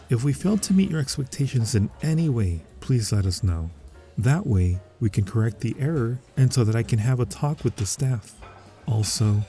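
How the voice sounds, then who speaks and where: Mellow, fawning male restaurant manager played by a man. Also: mid-range voice, close to an everyday voice. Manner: formal, unctuous customer-service politeness. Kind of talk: ASMR roleplay. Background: music.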